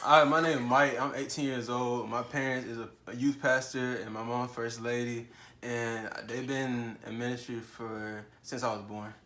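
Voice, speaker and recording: A man's voice: mid-range voice, little pitch movement, moderate pace, bright, forceful tone. An eighteen-year-old man introducing himself in a giggly, flustered way. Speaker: guest. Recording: echoey room, faint noise.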